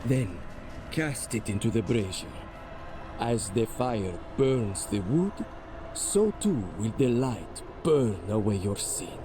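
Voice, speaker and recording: An actor playing a solemn gate guard of a holy order in a fantasy video game, giving ceremonial instructions. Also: male voice, on the low side, lively intonation, takes it slowly, music in the background.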